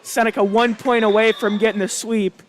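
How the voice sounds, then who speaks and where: A man giving chatty, enthusiastic color commentary at a high school volleyball game. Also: flat delivery, moderate pace. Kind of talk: casual sports color commentary.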